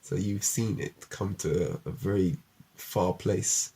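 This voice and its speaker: A man's voice: sits low, slow, vocal fry, mellow, slightly rough. A man interviewing casually, explaining things and encouraging the person he talks with. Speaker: interviewer, puppet show storyteller.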